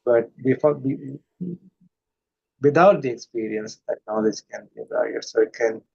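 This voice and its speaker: A man from India, a guest, talking thoughtfully and reflectively with many pauses and fillers. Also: mid-range voice, vocal fry, darkish tone, breathy edge, muffled recording. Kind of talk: reflective conversation.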